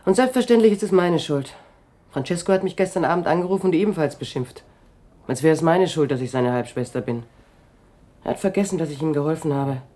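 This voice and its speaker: A woman's voice: sits low, lively intonation, a little fast, warm tone. An actress playing a defiant, defensive woman with a sarcastic manner in a radio crime drama.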